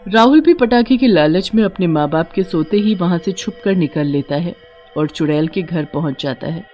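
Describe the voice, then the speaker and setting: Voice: mid-range voice, expressive, normal speed, a little mellow, dull audio, faint music behind. A narrator reading a scripted horror tale for a Hindi cartoon. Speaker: story narrator.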